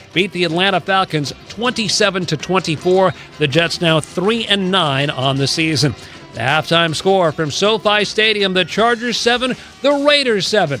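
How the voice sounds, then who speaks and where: A man with a high, bright voice hosts a sports radio update. He reads scores in a scripted announcer style over a faint music bed. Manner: announcer delivery.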